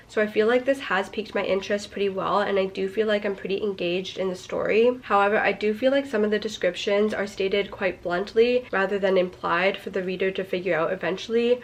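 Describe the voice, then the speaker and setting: A young woman chatting casually about a book she is reading, critical and full of "like", her voice stuffy and nasal from a cold. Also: medium pitch. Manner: chatty, critical, heavy use of "like". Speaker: book vlogger. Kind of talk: casual book review chat.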